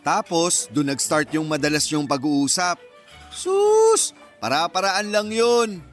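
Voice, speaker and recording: A bossy teasing friend in a radio drama, high voice, faint music under it. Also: male voice, sing-song, takes it slowly, heavily acted. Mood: scolding. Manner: teasing, scolding, exclamatory.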